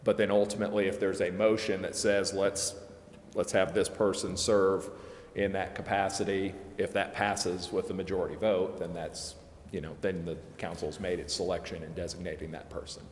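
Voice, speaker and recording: A man explaining procedure at a public meeting in an informal, halting, hedging way, like an adviser to a council. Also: deep voice, flat delivery. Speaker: legal adviser to a city council. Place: indoor council chamber. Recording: echoey, reverberant council chamber with background noise.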